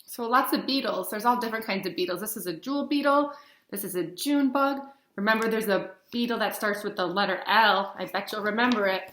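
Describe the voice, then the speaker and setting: A woman's voice: mid-range voice, sharp tone. A woman in her thirties reading aloud to young children as a teacher, asking simple questions and stressing key words. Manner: simple explanations with frequent questions to listeners and stressed letter names. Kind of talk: read-along lesson for children. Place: indoors, small plain-walled room. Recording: roomy, echoey webcam sound.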